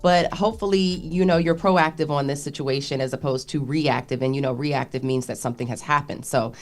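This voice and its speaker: An American woman reporting news on a radio morning show, with a halting delivery that stumbles and restarts between read copy and conversational asides. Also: deep voice, fairly even intonation.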